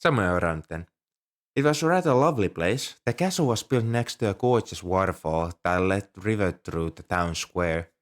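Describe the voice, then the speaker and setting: A man's voice: fairly low pitch, close to an everyday voice. A man playing an ancient, polite, nerdy dragon who collects coins, speaking earnestly and a little primly to the listener in an ASMR roleplay. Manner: polite, earnest, slightly prim and scholarly. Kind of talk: ASMR roleplay, one-sided dialogue with the listener.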